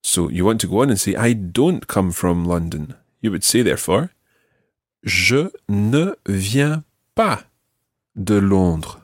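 A man teaching a podcast lesson in a patient, clear way. His low voice moves a lot in pitch and sounds a little husky. The recording is dry and close. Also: animated, slow pace, dry recording. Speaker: language teacher and podcast host.

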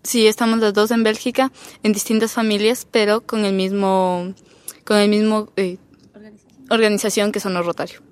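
A teenage exchange student from Ecuador with an Ecuadorian accent, answering thoughtfully and pausing with fillers as she searches for words. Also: medium pitch, level tone, smooth, no room sound. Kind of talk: casual chat.

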